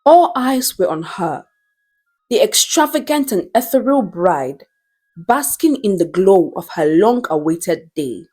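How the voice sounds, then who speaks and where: A woman reads a dramatic story as its narrator. Her pace is slow and deliberate, and her mid-pitched voice moves with lively expression through each phrase. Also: soft background music.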